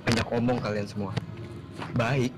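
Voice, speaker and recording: A man's voice: mid-range voice, flat delivery, moderate pace, lightly acted. A man playing a betrayed, distrustful villager in a Minecraft roleplay, speaking in an accusing, indignant way. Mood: bitter, betrayed. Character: betrayed, bitter, distrustful young male villager. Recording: music behind.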